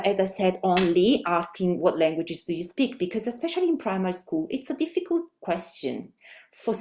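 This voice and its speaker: A middle-aged woman with an Italian accent, a native Italian speaker, giving a webinar presentation in a halting way with many fillers and restarts. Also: mid-range voice, a little mellow. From Italy. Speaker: language education presenter.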